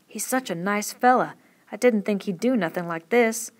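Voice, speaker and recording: A woman voicing an old crippled ranch hand who pleads in a rural drawl, his voice climbing high. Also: moderate pace, lightly acted. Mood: pleading.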